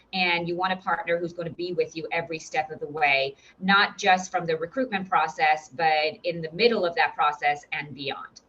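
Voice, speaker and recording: A woman's voice: on the low side, a bit bright, lo-fi sound. A woman with an Irish accent, a recruitment director giving an upbeat, encouraging presentation with frequent fillers and restarts. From Ireland. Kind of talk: webinar presentation.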